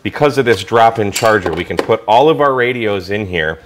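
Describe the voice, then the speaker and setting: Deep-voiced American man reviewing gear. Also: animated, normal speed, background noise. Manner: enthusiastic, colloquial. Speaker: product reviewer. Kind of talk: casual hands-on gadget review.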